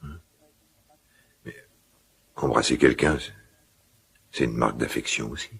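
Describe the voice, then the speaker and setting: A middle-aged actor playing a gruff but tender, old-fashioned father in a naturalistic radio drama, speaking in halting, musing phrases. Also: rumbling low, steady tone, fairly bright tone, exaggerated voice. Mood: hesitant, reflective. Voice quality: gravelly, husky.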